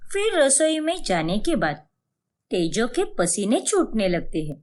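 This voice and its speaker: A woman reads scripted narration for an animated bedtime moral tale. Her voice is a little high, with very lively intonation and a slow, storytelling pace.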